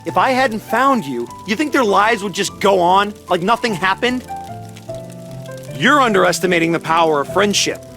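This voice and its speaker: An actor playing a brash, hot-headed but caring young ninja in an anime-style video game, blunt and emphatic. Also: male voice, high voice, lively intonation, moderate pace, sharp tone, soft background music, heavily acted. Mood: earnest, firm. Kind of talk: video game voice acting in anime dub manner.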